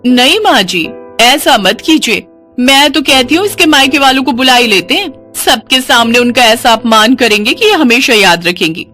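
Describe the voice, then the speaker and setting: A woman's voice: on the high side, expressive, normal speed, bright, forceful tone, soft background music, pitched above the performer's own voice. A woman voicing a spiteful, snobbish young daughter-in-law in a moral story, complaining in a pointed, whiny way. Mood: scheming.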